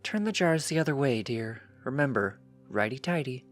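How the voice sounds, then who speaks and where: A man's voice: mid-range voice, animated, moderate pace, soft background music, pitched above the performer's own voice, close to an everyday voice. A man voicing a careful, fretful female pony, a precise and chiding wife in a storybook reading. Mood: patient chiding.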